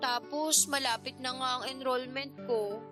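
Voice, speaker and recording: A woman plays a young niece in a radio drama, her voice high and girlish. She is hesitant, deferential and worried, pleading softly with an elder over prominent background music. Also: steady tone, normal speed, natural voice. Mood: pleading, sorry. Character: apologetic young niece, fresh senior high graduate, worried and respectful.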